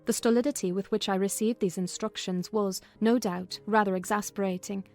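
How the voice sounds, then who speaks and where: A woman's voice: mid-range voice, fairly even intonation, brisk, darkish tone, faint music behind, lightly acted. A woman playing a sensible, composed household servant, narrating a classic novel as scripted storytelling.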